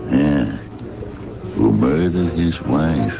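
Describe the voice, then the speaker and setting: An actor in a late-1970s radio drama playing a gruff, world-weary middle-aged man, with a laconic, sardonic delivery. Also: rumbling low, lively intonation, extremely slow, over music, full character voice. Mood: puzzled, probing. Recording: dull, noisy 1970s radio recording.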